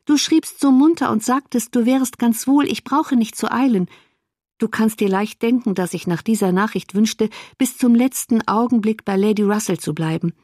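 Woman voicing patient, sensible young sister, higher voice. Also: normal speed, a little mellow, no room sound, studio quality, natural voice. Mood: patient reasoning. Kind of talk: audiobook character dialogue.

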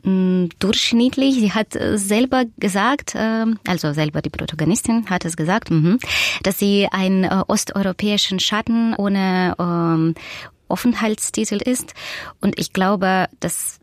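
A woman's voice: mid-range voice, a little slow, dry recording. Hesitant woman author, very dry sound. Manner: thoughtful, hesitant with frequent fillers. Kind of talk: interview about her novel.

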